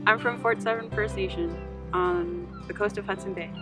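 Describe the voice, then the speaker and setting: A woman's voice: mid-range voice, fairly even intonation, normal speed. A twenty-year-old woman giving brief, plain interview answers. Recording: distant mic. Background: background guitar music.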